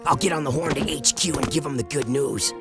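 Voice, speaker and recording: An actor playing an earnest, commanding resistance officer in a video game, brisk and authoritative. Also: male voice, fairly high pitch, over loud music, close to an everyday voice. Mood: pleased. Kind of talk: video game cutscene acting.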